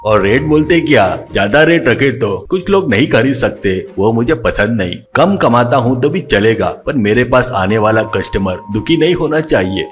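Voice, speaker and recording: A man's voice: mid-range voice, lively intonation, normal speed, hardly any pauses, lightly acted. A man voicing an honest, ageing street-vendor father in a moral story, earnest and plain-spoken. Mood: earnest, contented.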